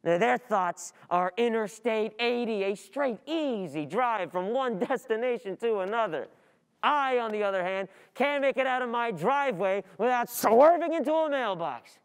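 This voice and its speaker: A young woman playing an overwhelmed, easily distracted student in a theatrical stage monologue, speaking in a halting, confessional way. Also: on the high side, animated, clear, resonant tone. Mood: anxious, wry. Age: young adult. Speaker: stage actor.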